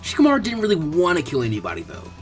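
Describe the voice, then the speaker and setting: A man's voice: fairly high pitch, wildly swooping pitch, very fast, faint music behind. A man narrating fan fiction as a scripted story reading.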